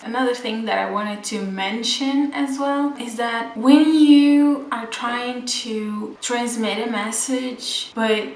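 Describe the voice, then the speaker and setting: Echoey, slow-talking non-native English-speaking woman. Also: on the high side, slight background noise. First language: not English. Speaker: vlog host. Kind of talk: reflective advice talk to the viewer. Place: indoor room at home. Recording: echoey room, distant mic.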